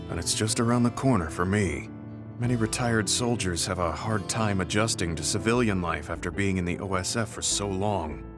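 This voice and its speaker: Game music plays under an actor as a weary veteran soldier. He is a man with a lowish voice, humble and full of self-reproach, speaking earnestly and with measured restraint. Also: close to an everyday voice. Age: older. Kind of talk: video game English voice acting.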